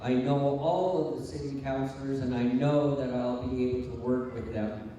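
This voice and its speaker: A man giving a closing campaign speech as a council candidate, speaking in a halting, hesitant way. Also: mid-range voice, fairly even intonation, dark tone, muffled recording. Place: large hall, public debate. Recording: very echoey hall, distant microphone.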